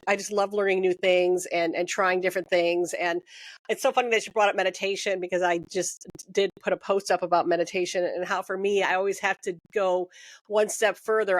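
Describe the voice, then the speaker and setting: A woman's voice: medium pitch, dry recording. Woman interview host, easygoing and talkative with frequent laughs, recorded dry and close. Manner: chatty, laughs often, rambling. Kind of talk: casual interview conversation.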